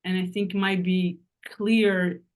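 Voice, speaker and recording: A woman with a Hebrew accent, a native Hebrew speaker, asking a question on a video call and searching haltingly for her words. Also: on the low side, level tone. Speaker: participant on a coaching call.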